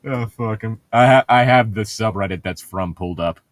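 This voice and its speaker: A man's voice: fairly low pitch, normal speed, dry recording. A man co-hosting a comedic read-along, reading a book aloud with casual, irreverent, sweary banter.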